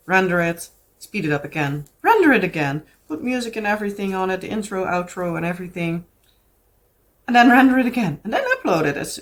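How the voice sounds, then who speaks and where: Chatty Dutch-accented woman vlogger. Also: on the low side. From Netherlands. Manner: chatty and rambling, many fillers and restarts. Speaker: craft vlogger. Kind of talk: casual chat to camera.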